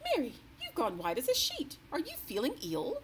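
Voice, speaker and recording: A woman's voice: sits high, huge pitch swings, normal speed, a bit bright, slight background noise, pitched above the performer's own voice, heavily acted. A woman voicing a genteel, commanding queen, exclaiming and distressed. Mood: alarmed. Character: distressed, imperious older female queen.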